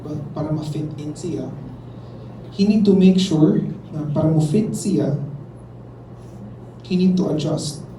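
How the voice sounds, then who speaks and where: A man's voice: a little high, a little slow, long pauses, dark tone. Filipino man teaching from across a room. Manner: mixes English with local phrases, questions the students. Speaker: workshop facilitator. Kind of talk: classroom workshop teaching. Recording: roomy, distant, noisy room recording.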